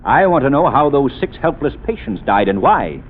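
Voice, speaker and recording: An actor playing a calm, shrewd gentleman detective in a 1930s radio drama, measured and commanding. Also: medium pitch, narrow, tinny audio, slight background noise, natural voice.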